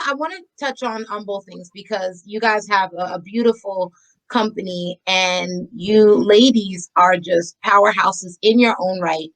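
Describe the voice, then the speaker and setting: A woman's voice: mid-range voice, poor audio. Enthusiastic woman podcast host. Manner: enthusiastic, many "um"s. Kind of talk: casual interview.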